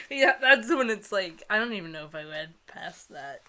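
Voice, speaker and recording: A woman with a mid-range voice reads and chats casually as a co-host. Her manner is animated, giggly and sarcastic, sliding between mock drama and offhand banter. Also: medium pitch, normal speed. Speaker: co-host of a comedy book-reading video.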